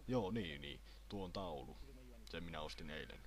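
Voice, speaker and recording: A man voicing a boastful, menacing muscular hunter in an amateur anime fan dub, rambling in a deadpan way. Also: deep voice.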